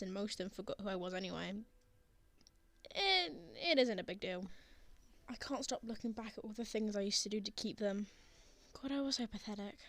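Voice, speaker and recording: A woman's voice: medium pitch, no room sound, natural voice. A young woman playing a teasing, bashful but tender college student in an intimate roleplay audio drama. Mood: casual, reflective. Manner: playful teasing with bashful hesitations.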